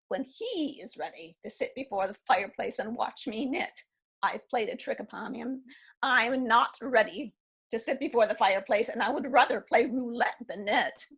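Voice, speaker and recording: A woman playing a mischievous old divorcee, husky. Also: a little high, fairly bright tone, heavily acted. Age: 70s. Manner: laughing, brash and amused. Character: spirited elderly divorcee, mischievous and defiant. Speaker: actor. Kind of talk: readers theatre reading.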